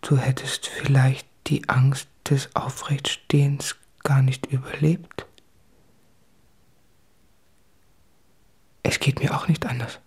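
A man's voice: medium pitch, level tone, unhurried, darkish tone, husky, no room sound. A man acting an anguished, self-tormenting writer in a literary radio drama, brooding and intimate, often sinking into whispers. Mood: hushed, intimate.

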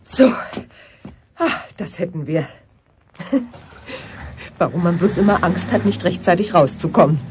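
A woman's voice: lowish voice, lively intonation, slow pace, pauses often, darkish tone, a little hiss or hum. An actress playing a courteous, slightly flustered woman traveller in a German radio play. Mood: flustered, polite. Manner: polite, a little flustered. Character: courteous woman bus passenger laden with bags.

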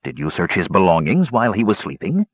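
A male reader plays a greedy, pudgy shopkeeper in a fantasy tale. He is eager and impatient with his demanding questions, in a husky, animated voice on a slightly muffled recording. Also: sits low, fast talker, dry recording, heavily acted. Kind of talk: fantasy audiobook character voice.

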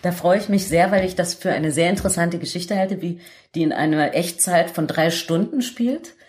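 A woman's voice: lowish voice, normal speed, darkish tone. A woman speaking as a radio show host in a conversational interview.

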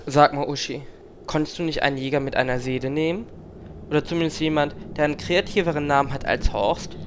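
A man's voice: on the high side, fast talker, over music, close to an everyday voice. A man acting a snide, wordy, sarcastic adventurer in a comedic fantasy game audio drama. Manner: sarcastic, disdainful.

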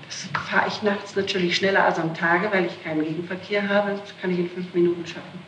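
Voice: mid-range voice, steady tone, fairly quick, fairly bright tone. A person being questioned by police, giving an explanatory account with self-corrections, on an old archival tape. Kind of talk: interrogation testimony, recounting events. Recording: roomy, noisy archival tape recording.

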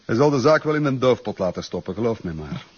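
An actor with a Flemish accent playing a cold, dismissive schemer in a radio crime drama. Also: male voice, medium pitch, big pitch swings, fast talker, dull audio, noisy background, close to an everyday voice. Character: cold, dismissive male schemer with powerful friends.

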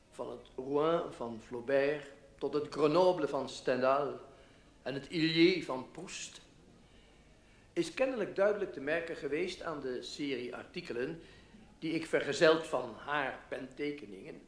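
An actor as pompous speechmaker in an echoey hall. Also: male voice, a little high, natural voice. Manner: pompous, florid, measured oratory. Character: pompous literary newspaper writer giving a public speech. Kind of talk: formal speech in a radio play. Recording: echoey hall, public address.

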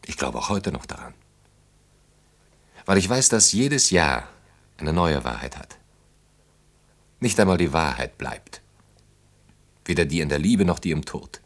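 A disillusioned man of about thirty in a German radio play. His voice sits deep and low, with a dry, ironic delivery that teases gently and muses sceptically. The style is conversational and naturalistic. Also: very animated, measured pace, long pauses, exaggerated voice. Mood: reflective, disillusioned.

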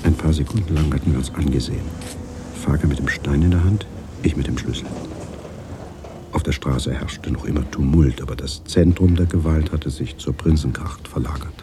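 Very deep-voiced reflective male narrator. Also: normal speed, background noise. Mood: tense, recollecting. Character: reflective man recounting his past, a physician. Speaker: narrator and lead character. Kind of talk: radio drama first-person narration.

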